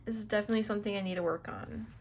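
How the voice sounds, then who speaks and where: A woman's voice: mid-range voice, very fast, darkish tone, husky. A young woman, a little stuffed up, chatting casually through a planner flip-through with fillers and small laughs. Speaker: planner video creator.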